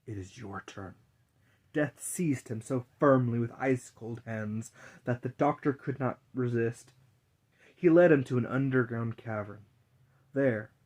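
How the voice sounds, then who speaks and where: A man's voice: mid-range voice, steady tone, a little slow, a little mellow. A man narrating a fairy tale as a dramatic storyteller's reading.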